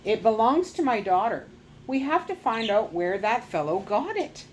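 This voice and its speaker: Storybook doctor dad, businesslike and steady; a woman reader does him lightly. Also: high-pitched, normal speed, a little hiss or hum. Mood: earnest. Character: determined father, a scientist on a search.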